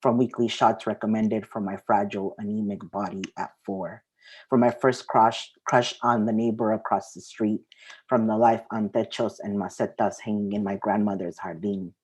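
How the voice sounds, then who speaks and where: A woman's voice: very deep voice, fairly even intonation, moderate pace, darkish tone, husky, low-quality recording. A woman, sharing her poetry at an open mic. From Mexico, raised partly in the US Southwest. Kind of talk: spoken-word poetry reading with casual introduction.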